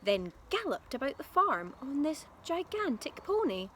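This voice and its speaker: Woman reading kids a story, expressive, slightly high voice, bit of background noise. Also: unhurried. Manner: addresses young listeners directly. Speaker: primary school teacher reading aloud. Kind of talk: expressive storybook narration for children.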